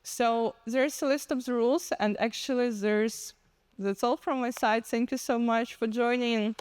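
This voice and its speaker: A woman's voice: on the high side, fairly even intonation, measured pace, a little mellow, smooth. A woman giving a talk as a conference speaker.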